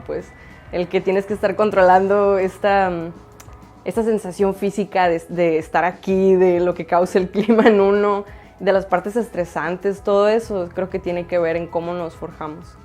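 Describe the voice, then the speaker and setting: A woman's voice: medium pitch, a little mellow. Thoughtful young Sonoran woman. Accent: Sonoran Mexican Spanish. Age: 20s. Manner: thoughtful, measured. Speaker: office worker. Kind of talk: interview answer.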